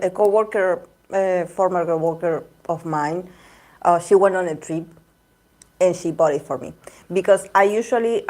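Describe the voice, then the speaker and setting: A woman chats easily with her livestream viewers in English. Her voice sits a little low, and she often repeats or restarts words as she talks. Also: a little mellow. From Argentina. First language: Spanish. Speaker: tarot reader livestream host. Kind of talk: casual livestream chat with viewers.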